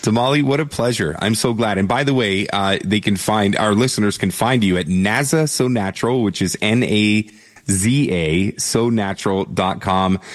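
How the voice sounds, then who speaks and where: A middle-aged man from Canada hosts a radio show with a fairly low voice. He talks upbeat and earnest, and drives his points home with emphatic encouragement. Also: moderate pace. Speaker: radio host. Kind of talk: radio interview and motivational monologue.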